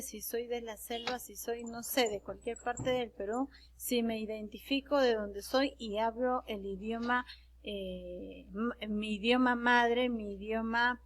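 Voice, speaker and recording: A Peruvian woman lecturing a class, her voice sitting a little high. She explains step by step, pausing on fillers and correcting herself as she goes. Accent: Peruvian Spanish. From Peru. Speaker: teacher. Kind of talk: recorded online class lecture.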